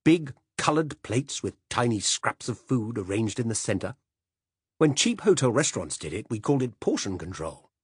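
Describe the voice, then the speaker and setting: A man's voice: lowish voice, big pitch swings, normal speed, slightly rough, no echo, clean studio sound, voice pushed up. A man reading aloud voices a blunt, domineering older man who growls his opinions at the dinner table. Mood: gruff commanding. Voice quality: growling, commanding.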